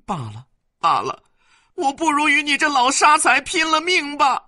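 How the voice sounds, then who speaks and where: A sobbing, shrieking matron in a spoken folk tale, voice leaping high. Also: male voice, very animated, normal speed, sharp tone, no room sound, clean studio sound, heavily acted. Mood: wailing fury. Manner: shrill scolding lament. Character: wailing furious wife of a rich old man.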